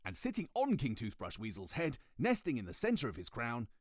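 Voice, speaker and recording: A British man narrating a children's story in an animated, expressive audiobook style. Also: mid-range voice, a little fast, dry recording, clean studio sound.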